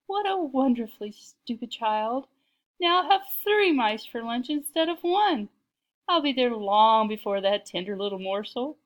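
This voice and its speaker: A woman's voice: on the low side, expressive, moderate pace, gravelly, few pauses. A woman voicing a sly male cat villain in a children's storybook, self-satisfied and gleefully scheming. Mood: gloating glee.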